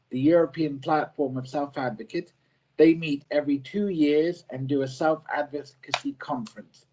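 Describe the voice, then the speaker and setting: A man, a self-advocate working as an easy-to-read editor, giving a prepared presentation in short simple sentences, slow and careful, with some restarts. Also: a little high, darkish tone, dull audio. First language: not French; French is a second language.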